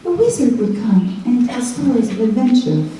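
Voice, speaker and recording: A woman narrates a rhyming fairytale for children with theatrical flair. Her voice is soft-toned and very animated in pitch. It comes from a distance in a heavily echoing hall, with faint music. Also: normal speed, a little hiss or hum. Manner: dramatic, expressive. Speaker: children's storyteller. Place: school show before children.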